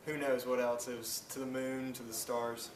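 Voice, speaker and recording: Earnest young man, even voice. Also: mid-range voice, moderate pace. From North Carolina. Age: 20s. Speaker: college student. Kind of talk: interview answers. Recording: roomy, distant mic, background noise.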